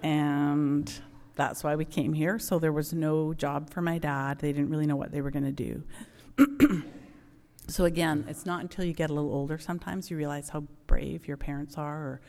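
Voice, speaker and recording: Low, gravelly woman giving church testimony. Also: steady tone, normal speed, a little mellow. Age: middle-aged. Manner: conversational, frequent ums, reflective. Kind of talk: personal testimony. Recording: long church reverb.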